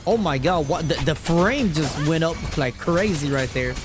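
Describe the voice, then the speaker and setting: A man's voice: a little high, lively intonation, moderate pace, music in the background. A man reacting casually to a video game as he plays, talking in slangy, profane, informal language. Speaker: gaming video creator playing and reacting. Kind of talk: casual gameplay reaction commentary.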